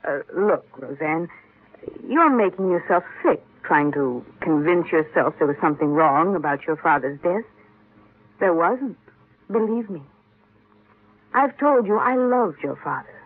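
A woman's voice: lowish voice, expressive, unhurried, breathy edge. An actress playing a poised, steely young stepmother in a 1950s radio drama. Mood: urgent, persuading. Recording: muffled vintage 1950s radio recording.

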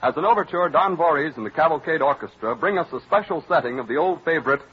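High-voiced vintage radio announcer man, animated delivery. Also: fast talker, very noisy. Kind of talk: formal old-time radio announcing, scripted. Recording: dull, lo-fi vintage radio broadcast.

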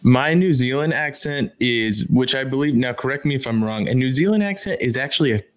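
A man of average pitch chats as a podcast co-host. His style is casual and joking, recorded dry with little room sound. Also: lively intonation, fairly quick, no room sound.

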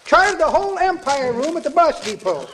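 High-pitched, folksy old codger. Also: male voice, sing-song, fairly quick. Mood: boastful. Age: older. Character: cheeky, boastful old-timer man, about to marry. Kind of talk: 1940s radio comedy acting. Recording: noisy vintage radio recording.

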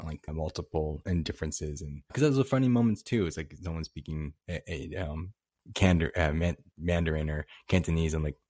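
A man hosting a casual audio chat room, talking quickly in a rambling, hedging way. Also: low-pitched, a little mellow, no echo, studio quality.

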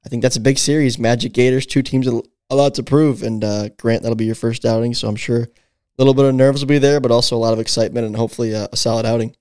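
A man hosts a podcast, talking quickly and with enthusiasm, stringing long thoughts together. His voice is dry and close to the mic. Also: medium pitch, steady tone, darkish tone, smooth, dry recording. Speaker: podcast co-host. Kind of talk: casual podcast chat.

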